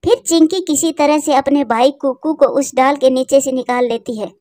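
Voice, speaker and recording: Female narrator for children's tales: high pitch, soft warm tone, close dry recording. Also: moderate pace, dry recording. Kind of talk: scripted children's story narration.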